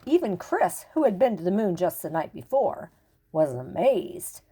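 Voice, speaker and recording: An older woman narrating a children's picture book expressively, with deliberate dramatic emphasis and pauses. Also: medium pitch, big pitch swings, warm tone, breathy edge. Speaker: storyteller reading to children.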